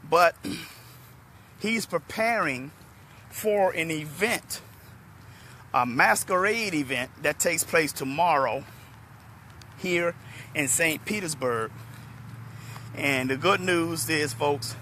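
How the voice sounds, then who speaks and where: Hoarse man livestreaming outdoors. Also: fairly high pitch, slow, pauses often, slight background noise. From Washington, D.C. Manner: relaxed, chatty, addresses viewers by name. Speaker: motivational speaker. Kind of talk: live-streamed talk to viewers. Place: outdoors in a parking lot under trees. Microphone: phone held at arm's length.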